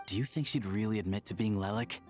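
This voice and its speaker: Knowing confidante, extremely low voice, composed, measured, video game dialogue. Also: female voice, a little mellow, faint music behind.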